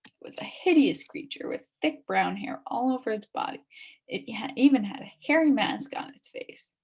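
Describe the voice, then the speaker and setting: A woman reading a children's story aloud in an expressive, dramatic way, dropping into hushed suspense at times. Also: fairly high pitch, normal speed, slightly rough. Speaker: story reader.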